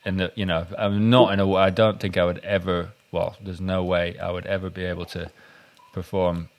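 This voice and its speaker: A man's voice: low-pitched, flat delivery, a little fast. A man in casual conversation who is learning the violin, tentative and often trailing off. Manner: tentative, trails off and hedges a lot.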